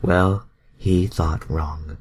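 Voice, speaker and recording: A man's voice: low-pitched, fairly even intonation, extremely slow, voice pushed down, full character voice. A man voicing a friendly, flirty woman bounty hunter from fan fiction, in a casual, coy manner.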